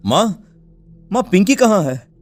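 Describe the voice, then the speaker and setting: Cartoon village dad voiced by a man, grieving, pleading, high voice, soft music behind. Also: very animated, measured pace. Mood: distraught. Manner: distraught, pleading. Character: grieving village father searching for his daughter.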